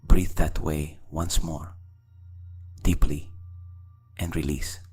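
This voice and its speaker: A man narrates a scripted guided meditation in slow, deliberate phrases with long pauses. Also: a bass voice, little pitch movement, warm tone, breathy edge, soft background music.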